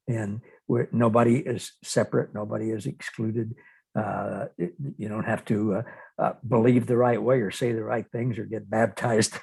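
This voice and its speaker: A man interviewing in a reflective, conversational style, speaking haltingly and thoughtfully and often repeating words. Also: lowish voice, creaky, darkish tone, slightly rough.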